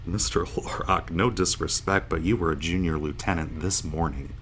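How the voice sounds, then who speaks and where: A man's voice: low voice, steady tone, moderate pace, a bit bright, slight background noise. A man playing a dazed, wisecracking starship officer in an audio drama, blunt and sardonic. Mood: anxious, shaken. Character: dazed, wisecracking male starship officer waking from long stasis.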